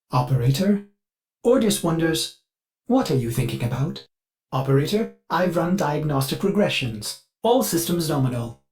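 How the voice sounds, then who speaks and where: An actor playing a fussy, eager-to-please artificial mind of a spaceship in a video game, with an overly polite, theatrical and halting delivery. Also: male voice, fairly high pitch, a little mellow. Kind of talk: video game character dialogue.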